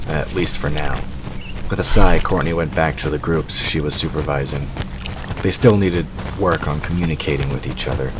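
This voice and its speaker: Male narrator, extremely deep register, scripted fantasy storytelling, noticeably noisy ambient bed. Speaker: narrator of an audio drama. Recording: clearly noisy background ambience.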